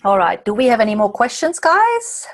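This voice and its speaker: A slightly hoarse woman from Australia, a coach answering viewer questions in a chatty way during a live online masterclass late at night. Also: fairly high pitch, wildly swooping pitch, normal speed, no room sound, clean studio sound. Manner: chatty, reads out viewer comments and answers them. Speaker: coach and presenter.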